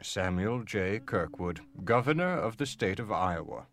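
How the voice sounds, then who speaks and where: A man's voice: sits low, very animated, a little slow, crowd noise, full character voice. A man playing a stern, formal Civil War-era official declaiming his letters in a dramatic reading. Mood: solemn, measured.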